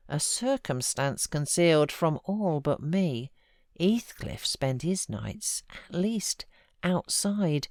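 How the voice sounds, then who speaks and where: A woman with a British accent giving an expressive, measured literary narration as an audiobook reader. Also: sits low, no room sound, clean studio sound. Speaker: audiobook narrator, voiceover artist.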